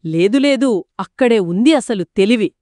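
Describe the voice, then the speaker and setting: A woman co-narrating a folktale for children in a conversational, expressive way, speaking directly to young listeners. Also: fairly high pitch, normal speed, no room sound, clean studio sound. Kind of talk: conversational two-person storytelling for children.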